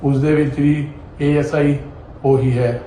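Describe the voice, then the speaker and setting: A man's voice: medium pitch, little pitch movement, slow, mellow. A young man from Punjab, speaking in an amateur recording. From Punjab, India. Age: young adult. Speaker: complainant. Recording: roomy echo, noisy amateur recording.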